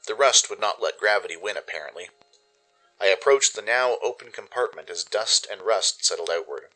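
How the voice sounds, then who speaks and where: A man's voice: on the low side, expressive, moderate pace, bright, forceful tone, faint music behind, rough recording. A man narrating a scripted adventure story in the first person, in a dramatic storytelling style. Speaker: narrator and producer.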